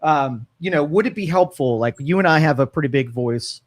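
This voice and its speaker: A man co-hosts a talk show from the studio. He muses his way through each point, stopping and restarting often, in a voice a little higher than most men's. The sound is close and dry. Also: brisk, no room sound. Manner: musing, with frequent fillers and restarts. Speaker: radio talk show co-host. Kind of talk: casual opinion talk.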